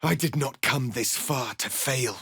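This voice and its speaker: A man playing a proud, stern alien warrior prince in a fantasy video game, speaking formally and gravely with commanding, measured delivery. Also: medium pitch, fairly bright tone, dry recording, clean studio sound. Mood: solemn, determined. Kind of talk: dramatic fantasy game dialogue.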